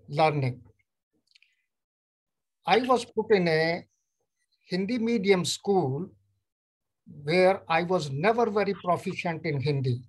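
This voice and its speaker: An older man from Punjab whose first language is Punjabi, speaking Indian English and telling his life story in a measured, storytelling way over a video call from a room at home. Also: high-pitched, low-quality recording. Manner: measured, storytelling, acts out quoted exchanges. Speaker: neurologist guest. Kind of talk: recounting life story in an interview.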